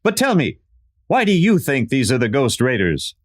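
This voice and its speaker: A man playing an earnest, courteous masked lawman in an old-time western radio drama, speaking in deliberate, declarative lines. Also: medium pitch, huge pitch swings. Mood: businesslike.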